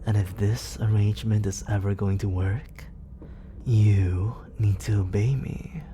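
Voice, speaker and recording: A man voicing a smooth, manipulative villain in an ASMR roleplay. He speaks close to the mic in a slow, condescending tone that often sinks into whispers. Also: on the low side, fairly even intonation, background noise, close to an everyday voice. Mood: smug, coaxing. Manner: slow, purring delivery that often drops into whispers; condescending and coaxing. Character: smooth, manipulative male villain, soft-spoken and controlling. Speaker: voice actor. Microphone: close studio condenser mic.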